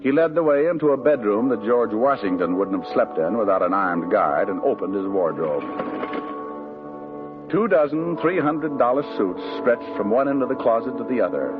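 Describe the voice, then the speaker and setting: A man voicing a hard-boiled private eye from a 1950s radio drama, trading dry, sarcastic wisecracks. His voice sits mid-range and comes through a thin, tinny old broadcast with music playing clearly under it. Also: mid-range voice, close to an everyday voice. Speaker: private detective and narrator.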